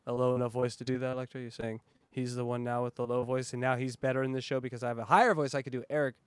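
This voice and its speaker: A man's voice: mid-range voice, little pitch movement, rapid-fire, clear, resonant tone, no room sound. A man hosting a casual reaction podcast, talking in a loose, profane, rambling way. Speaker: podcast host.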